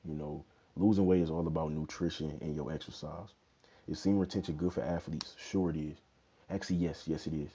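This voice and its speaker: Very deep-voiced laid-back young man. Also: fairly even intonation, fairly quick, warm tone, slight background noise. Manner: laid-back, loose slang, many "you know what I'm saying". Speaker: fitness livestream host. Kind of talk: casual livestream Q&A chat. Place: small bedroom indoors. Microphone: wired earbud mic, phone held low. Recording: dull lo-fi phone audio.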